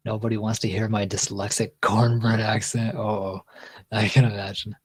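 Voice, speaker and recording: A Texan-accented man hosting a relaxed livestream chat, talking in a somewhat low chest voice. He reads viewers' comments aloud and wanders through his answers with easygoing restarts. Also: moderate pace, breathy edge. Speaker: livestream host.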